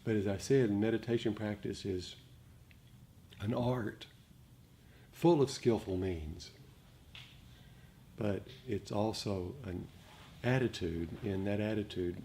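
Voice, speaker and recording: Man, lowish mellow voice, gentle reflective meditation teacher, halting delivery, noticeable background noise. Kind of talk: dharma talk to a meditation group.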